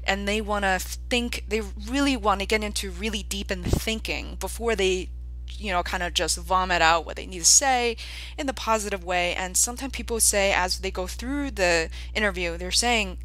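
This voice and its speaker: Bright-voiced woman podcast host. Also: mid-range voice, moderate pace, poor audio. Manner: talkative, lively follow-up questions. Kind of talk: casual interview chat.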